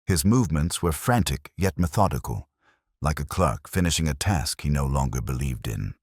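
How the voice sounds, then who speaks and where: A man's voice: very deep voice, lively intonation, normal speed, slightly rough, dry recording, studio quality. A man giving scripted, dramatic narration of a Victorian mystery audiobook in a literary style.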